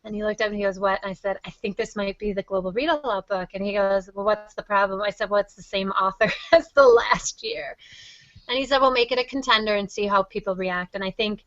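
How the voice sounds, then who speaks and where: A woman speaks as an interview guest in an enthusiastic, effusive way. Her pitch is average for a woman. The audio is dry and a little dull, like a lo-fi online call. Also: a little fast, fairly bright tone, no room sound. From Wisconsin, United States. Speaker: interview guest, teacher and reading project founder. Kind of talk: conversational interview answers.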